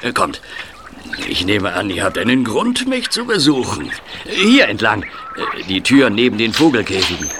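A man playing an affable, hospitable older man in an audio drama, speaking deliberately with hesitations. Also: on the low side, huge pitch swings, background noise. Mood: friendly, welcoming. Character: affable older man, scarred retired film manager breeding budgies. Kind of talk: audio drama dialogue acting.

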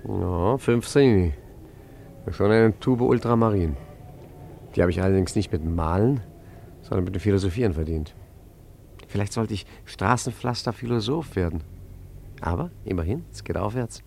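A low-pitched male street painter who fancies himself a philosopher and a master forger. He talks with chatty irony and a touch of mock grandeur, in the manner of a radio play. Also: moderate pace, vocal fry, pauses often, darkish tone, slight background noise. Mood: musing, wry. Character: wry philosophical male street painter and self-proclaimed great art forger. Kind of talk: German radio play acting and narration.

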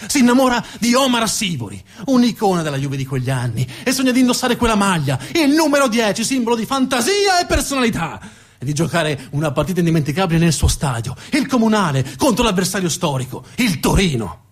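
A man delivers a theatrical monologue as a storyteller. His pitch runs high for a male voice and moves widely, with dramatic emphasis and repeated phrases. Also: very animated, brisk.

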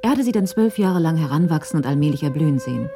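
A woman's voice: deep voice, animated, quick pace, dark tone, soft background music. A woman giving scripted narration in a radio crime drama.

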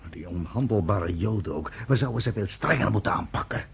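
A condescending British officer in a radio drama. His raspy voice rises and falls widely in pitch, and he delivers every line with a smug, mocking air. The overall effect is arrogant and superior. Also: expressive, fairly quick, full character voice.

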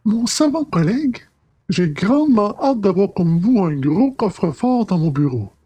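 A man voicing a cheerful, self-mocking young country-bred lawyer in an audiobook tale, with a husky voice. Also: sits high, big pitch swings, a little slow, warm tone, dry recording, pitched above the performer's own voice, full character voice. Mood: eager, polite. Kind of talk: audiobook character dialogue.

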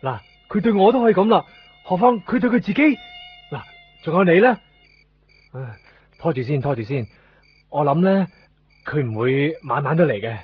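Male actor as exasperated young man on the run, higher-pitched, Hong Kong Cantonese, evasive and insistent, muffled nineties radio drama. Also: lively intonation, normal speed, pauses often, a little hiss or hum, close to an everyday voice. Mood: worried. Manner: exasperated, emphatic, repeats himself. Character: anxious, evasive young man hiding from a clinging ex. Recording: muffled 1990s radio drama over music.

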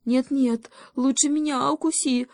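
A woman's voice: high-pitched, fairly even intonation, creaky, a little mellow, no echo, studio quality, pitched above the performer's own voice. A woman voicing a timid but brave little male goat kid in a children's story, bleating and crying out. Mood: desperate pleading. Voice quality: bleating, thin. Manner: crying out, drawn-out pleading.

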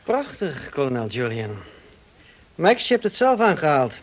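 An actor in a radio play playing a smug, insinuating blackmailer with a mocking, drawling, falsely polite manner. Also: male voice, on the high side, very animated, normal speed, lo-fi sound. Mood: accusing. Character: smug, insinuating male blackmailer, cousin of the dead woman.

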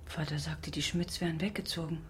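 Radio drama: actress as doubting sister in midlife, rapid speech, often hushed, light noise behind. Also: female voice, deep voice, fairly even intonation, lightly acted. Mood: puzzled, hushed. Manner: brisk retorts, often hushed.

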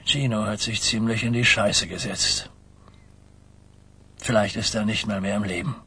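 A man playing an old, dignified mafia godfather in a radio crime drama, weary and grave, speaking in a measured, husky voice. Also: lowish voice, fairly even intonation, sharp tone, full character voice. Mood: worried, weary. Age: older.

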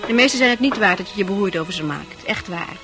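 An actress playing a forward, coaxing woman who is a bar regular in a Dutch radio drama, familiar and expressive. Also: mid-range voice, quick pace, close to an everyday voice. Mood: consoling. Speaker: actor in a radio play. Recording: music playing behind.

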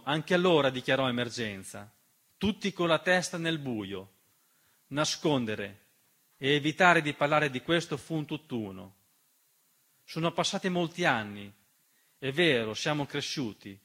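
A man's voice: a little high, a bit bright. A man delivering a theatrical political monologue in a rhetorical, impassioned manner, speaking directly to the listener. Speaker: stage monologist. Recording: piano music behind the voice. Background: piano accompaniment.

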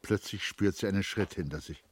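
A man narrating a literary radio drama in a measured, sombre manner. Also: sits low, a little hiss or hum.

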